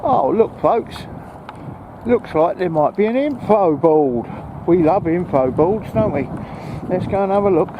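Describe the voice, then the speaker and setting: High-voiced man vlogging outdoors, clear background noise. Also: animated, measured pace, highs cut off. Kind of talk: casual chat to camera while walking. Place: outdoors in a park. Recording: noisy outdoor recording with wind.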